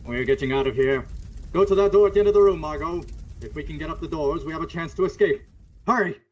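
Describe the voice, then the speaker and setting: A man's voice: a little high, quick pace, a little mellow, lo-fi sound, slight background noise, lightly acted. A man playing a resolute crime-fighting avenger in old-time radio drama style, with a dramatic, authoritative delivery. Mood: urgent. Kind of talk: 1940s radio drama acting.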